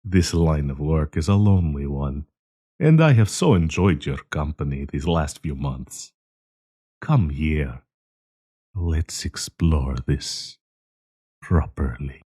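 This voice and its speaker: A man playing a sinister, possessive werewolf professor in an intimate audio roleplay, coaxing and menacing, with a husky, breathy voice. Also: very deep voice, lively intonation, dark tone, no room sound, clean studio sound, exaggerated voice. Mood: coaxing, predatory. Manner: slow, coaxing, menacing endearments.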